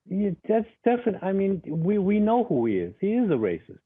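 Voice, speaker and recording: A man's voice: sits high, huge pitch swings, fairly quick, slightly rough. Blunt man on a tinny phone line. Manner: emphatic, blunt, repeats words at starts. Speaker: interview guest, actor. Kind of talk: remote interview answers.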